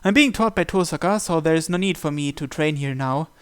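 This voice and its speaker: A man's voice: fairly high pitch, brisk, smooth. A man narrating a visual novel in the first person, reading from the script.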